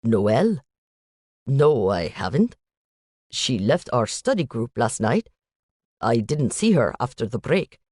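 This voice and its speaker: A polite, soft-spoken young man with a questioning lilt, his voice dropped low, as a woman reads him. Dry, close audiobook recording. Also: very animated, takes it slowly, long pauses, slightly rough, dry recording, exaggerated voice. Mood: concerned.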